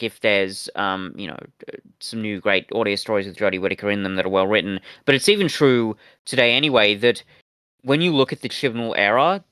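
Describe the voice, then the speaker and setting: A man co-hosting a review podcast in casual, opinionated chat, feeling his way through sentences haltingly. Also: medium pitch, sharp tone, no echo. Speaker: podcast co-host reviewing audio dramas.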